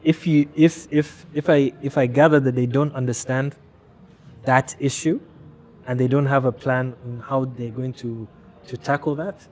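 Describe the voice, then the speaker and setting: A man from Rwanda, a fashion brand founder, answering interview questions candidly in a halting way with frequent restarts. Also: medium pitch, fairly even intonation, slight background noise.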